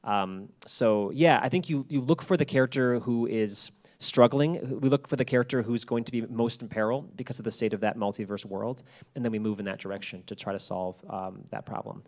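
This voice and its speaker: A man's voice: mid-range voice, a little fast, darkish tone. A man speaking informally on a panel about his craft, explaining things in an encouraging, hesitant way as he thinks aloud. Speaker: guest speaker, podcast creator. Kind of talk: informal panel talk on storytelling. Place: live library event.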